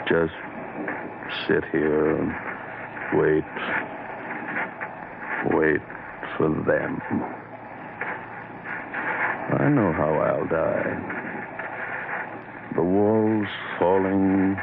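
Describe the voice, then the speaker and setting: An actor playing a despairing scientist unravelling in horror, in a melodramatic, halting radio monologue that repeats phrases in anguish. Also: male voice, rumbling low, lively intonation, vocal fry, full character voice. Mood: exhausted dread. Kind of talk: 1970s-revived old-time radio horror monologue. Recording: noisy, phone-like old radio recording.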